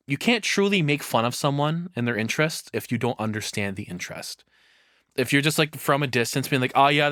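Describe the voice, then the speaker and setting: A man's voice: medium pitch, a bit bright, no room sound, studio quality. A man giving casual reaction commentary as a streamer, rambling and opinionated, with some swearing.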